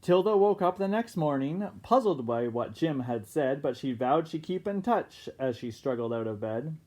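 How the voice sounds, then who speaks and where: A teacher reading a picture book aloud expressively, pausing for casual asides to young listeners. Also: mid-range voice, lively intonation, dark tone.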